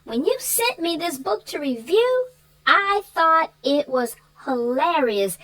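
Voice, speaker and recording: A woman speaks in a very high, bright voice with a lot of swing in her pitch. She chats casually as a book reviewer, bantering and telling things in an animated way. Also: slow.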